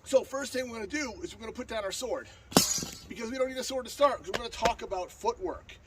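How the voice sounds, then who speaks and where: A man with a stuffy, blocked-up nose talks quickly and earnestly, with lots of fillers and restarts, in a fairly high voice. He is recorded outdoors on a lawn by a camera a few steps away. Plenty of outdoor noise sits behind him. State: stuffed up from a cold. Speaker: sword-fighting instructor. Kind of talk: casual outdoor tutorial. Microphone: far camera on a tripod. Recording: distant mic with outdoor noise.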